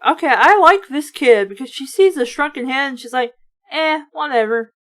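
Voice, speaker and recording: A woman reading a children's horror book aloud in an animated way, breaking off for casual, wry, nitpicking comments. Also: high voice, brisk. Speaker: reader and commentator.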